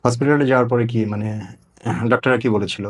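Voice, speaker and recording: A man's voice: medium pitch, steady tone, fast talker. A man interviewing a guest in a conversational way, dropping English words into his speech. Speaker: interviewer, host.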